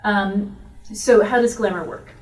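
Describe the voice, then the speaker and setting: A woman's voice: mid-range voice, steady tone, measured pace. A woman author answering audience questions at a book event in an informal, explanatory, conversational way. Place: bookstore event room. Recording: roomy echo, distant microphone.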